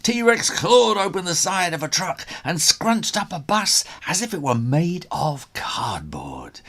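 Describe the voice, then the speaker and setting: A man reads a children's adventure story aloud with dramatic expression. His voice is husky and swings widely in pitch as he takes his time over each phrase. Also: very animated, slow pace, fairly bright tone. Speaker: children's author reading own story.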